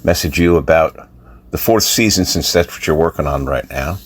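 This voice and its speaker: Older man, American accent, deep pitch, casual listener voice message. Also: moderate pace, breathy edge. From United States. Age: 60s or older. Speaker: listener calling in with feedback.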